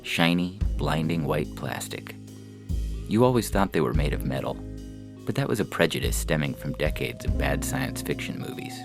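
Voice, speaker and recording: A man narrates a scripted story in a low register. There is noticeable fry in the voice, and music plays lightly behind the reading. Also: wildly swooping pitch, moderate pace.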